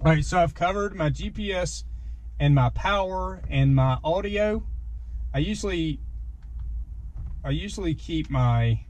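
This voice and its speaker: A man's voice: fairly high pitch, animated, unhurried, pauses often, a little mellow. A man talks casually and without a script inside a parked truck, explaining his gear step by step in a plain-spoken way. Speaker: vlogger demonstrating his gear. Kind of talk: casual unscripted product walkthrough.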